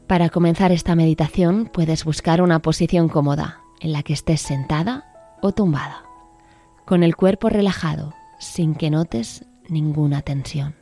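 A woman presenting a guided meditation with a calm, gentle, measured delivery. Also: low-pitched, soft background music. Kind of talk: scripted meditation introduction.

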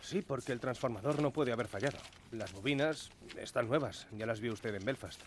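An actor playing a keen, stubborn young telegraph operator of the 1910s in a radio drama. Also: male voice, mid-range voice, fairly quick, a little hiss or hum, lightly acted. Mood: determined. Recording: music behind the voice.

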